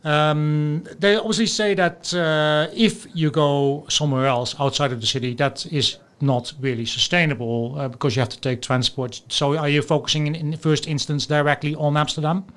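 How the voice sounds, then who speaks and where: A man's voice: on the high side, steady tone, normal speed, few pauses, a bit bright, smooth. A man from the Netherlands with a Dutch accent, hosting a podcast in a casual, chatty interview style and asking questions with curiosity. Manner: inquisitive, chatty. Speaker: podcast host.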